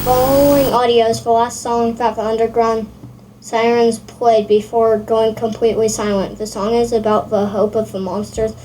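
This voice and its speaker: A woman's voice: a little high, level tone, moderate pace, dark tone. A woman hosting a reaction video, reading aloud and commenting as she watches. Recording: very noisy, video sound behind.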